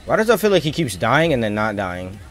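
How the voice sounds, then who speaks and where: A man's voice: mid-range voice, sing-song, a little fast. A man doing casual live gameplay commentary as a streamer, talking to himself in slangy, sweary asides. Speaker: game streamer. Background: music and game audio.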